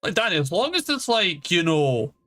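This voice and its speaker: Male streamer, bright forceful voice, casual slangy game commentary, faint music behind. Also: sits high, big pitch swings, moderate pace. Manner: slangy, off-the-cuff, swearing. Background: soft background music.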